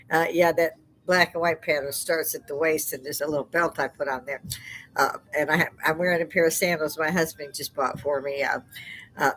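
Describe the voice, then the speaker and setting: Older lady, loud voice, chatty, laughs a lot, low-ish tone. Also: rough recording. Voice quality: loud speaking voice. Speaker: live stream host. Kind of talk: casual live-stream chat. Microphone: desk microphone.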